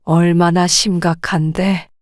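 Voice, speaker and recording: A woman voicing a gentle, worn-down mother in her sixties, speaking softly and with restraint in an audio drama. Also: on the low side, flat delivery, takes it slowly, dry recording, studio quality. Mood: weary, gentle. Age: 60s.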